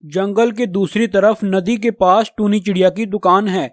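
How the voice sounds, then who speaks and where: A man's voice: high-pitched, steady tone, normal speed, dry recording, clean studio sound, close to an everyday voice. A man voicing a friendly, chatty male crow who shops for mangoes in a children's cartoon. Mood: friendly, eager.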